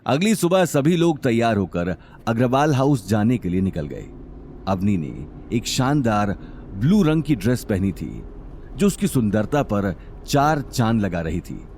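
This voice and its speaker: A man's voice: on the low side, very animated, unhurried, darkish tone, slight background noise. A man working as narrator, reading a scripted dramatic story.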